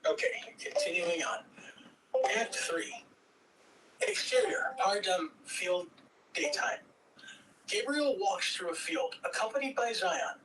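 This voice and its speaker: Male narrator and host, high bright voice, reading stage directions, recorded off-mic. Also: animated, slow, long pauses. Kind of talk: scripted stage-direction narration with casual hosting. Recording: distant mic, faint background noise.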